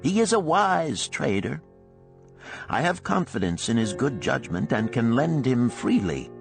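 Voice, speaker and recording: A man's voice: medium pitch, big pitch swings, a little slow, creaky, faint music behind, voice pushed up. A man voicing a shrewd older gold lender in a storybook, speaking in an archaic, emphatic, declamatory way. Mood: firm, confiding.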